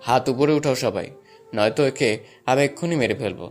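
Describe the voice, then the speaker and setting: A man's voice: mid-range voice, brisk, soft background music, close to an everyday voice. A man playing a bold, urgent young man on a rescue mission in an audio story. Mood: commanding. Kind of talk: Bengali audio story acting.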